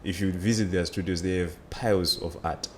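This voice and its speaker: A man's voice: low voice, fairly even intonation, brisk, a little hiss or hum. A man in his twenties with a Zimbabwean accent, telling his story conversationally as an interview guest. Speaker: interview guest, student entrepreneur.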